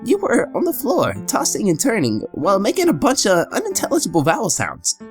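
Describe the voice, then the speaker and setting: A woman playing an excitable, wildly swooping skeleton brother. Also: medium pitch, a little fast, soft background music. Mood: excited, earnest. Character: earnest, excitable young male skeleton brother. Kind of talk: comic dub voice acting.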